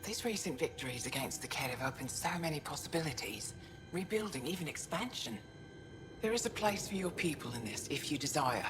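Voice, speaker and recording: A woman playing a dignified, practical alien town steward in a video game, speaking in a formal, earnest, measured way. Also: low voice, sing-song, music in the background. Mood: formal, hopeful. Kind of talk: video game character dialogue.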